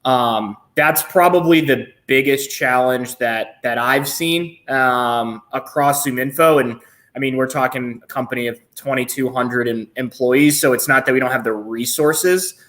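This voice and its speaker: A man in his thirties speaking as a panelist over a video call, thoughtful and pausing often with fillers. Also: medium pitch, fairly bright tone. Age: 30s. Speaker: sales leader, panelist. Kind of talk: business panel discussion.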